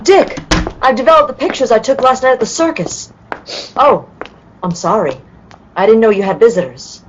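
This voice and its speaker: A woman playing a polite, earnest young detective's companion in an old-time radio play, courteous and gentle. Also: fairly high pitch, animated, moderate pace, slight background noise, close to an everyday voice. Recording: muffled video-call audio.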